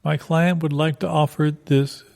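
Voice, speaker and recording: A man's voice: a little high, little pitch movement, a little slow, creaky, a little mellow. A man playing an earnest, stubborn defence lawyer in an improvised comedy sketch, formal and insistent. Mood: formal insistence. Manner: formal, insistent legal phrasing. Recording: light guitar music behind.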